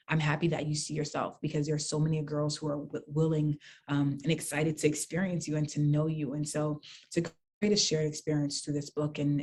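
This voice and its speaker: Earnest young woman on a flat, even voice. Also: deep voice. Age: young adult. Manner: earnest, reflective, with many ums and soft trailing phrases. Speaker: guest, author and basketball nonprofit founder. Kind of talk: virtual interview conversation. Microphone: video call.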